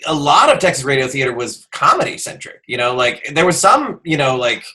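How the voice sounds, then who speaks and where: Man, American accent, bright forward voice, average pitch, casual podcast host, bantering, joking. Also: very animated, brisk. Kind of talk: casual chat, interviewing.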